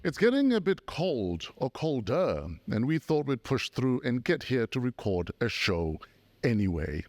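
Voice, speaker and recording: A man's voice: medium pitch, sing-song, unhurried, darkish tone, dry recording. A man with a South African accent hosting a casual talk show, joking playfully as he interviews.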